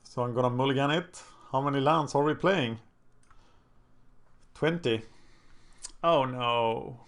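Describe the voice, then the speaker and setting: A man's voice: medium pitch, slow pace. A man with a Swedish accent, a native Swedish speaker, thinking aloud and chatting as he commentates his own card game.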